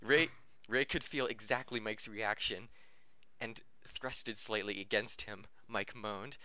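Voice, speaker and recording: A man's voice: lowish voice, steady tone, takes it slowly, pushed and bright, no room sound. A man reading fan fiction aloud on a podcast, stumbling over words and correcting himself, often amused and breaking into laughter. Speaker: podcast reader.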